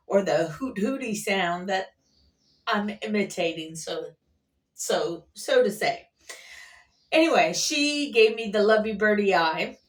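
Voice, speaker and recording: A woman with a mid-range voice chats casually in an unhurried, meandering way, like a vlogger sharing everyday stories. Her delivery is folksy and playful. The voice sits a bit away from the microphone. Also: medium pitch, distant mic. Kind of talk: casual rambling storytelling to camera. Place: at an airport workplace.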